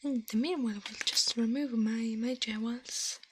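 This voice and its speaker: A woman hosting an ASMR livestream in a breathy, hushed voice that often drops to a whisper, murmuring slowly close to the microphone. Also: on the high side, steady tone, a little hiss or hum.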